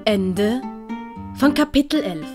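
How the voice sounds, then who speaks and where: A woman's voice: on the high side, expressive, slow pace, music in the background. A woman reading scripted audiobook narration as the storyteller.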